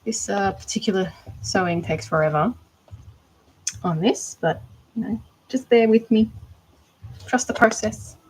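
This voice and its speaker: A woman's voice: mid-range voice, unhurried, pauses often, husky, rough recording. A woman with an Australian accent chatting casually during a livestream, rambling and thinking aloud while she crafts. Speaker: art doll maker.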